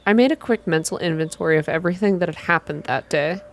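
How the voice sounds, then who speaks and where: A woman's voice: lowish voice, fast. A woman narrating a story aloud in an ASMR reading style.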